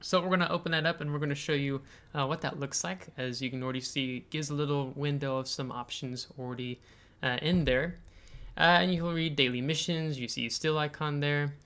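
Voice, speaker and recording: An American man hosting a video game tutorial, explaining things step by step in a halting way with frequent filler pauses. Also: fairly high pitch, steady tone, fairly bright tone, clean tone. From United States. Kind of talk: explanatory game tutorial, semi-scripted screen walkthrough.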